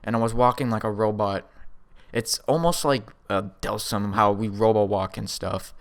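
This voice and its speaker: A man's voice: on the low side, fairly even intonation, normal speed, creaky. A young man casually telling a first-person story in a rambling, slangy way, often with a chuckle. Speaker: storyteller vlogger.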